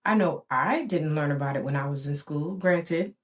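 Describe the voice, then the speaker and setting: This is a woman in her forties, born in Barbados and raised in the US, hosting her own podcast. Her voice sits a little lower than most women's. She talks in an earnest, rhythmic way and stacks repeated phrases for emphasis. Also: moderate pace. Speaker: podcast host. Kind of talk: reflective solo podcast monologue.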